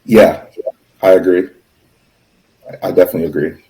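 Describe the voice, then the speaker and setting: A man speaking as a podcast guest, earnest and measured, with a lot of casual fillers. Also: low-pitched, steady tone, dark tone, rough recording. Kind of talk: casual chat.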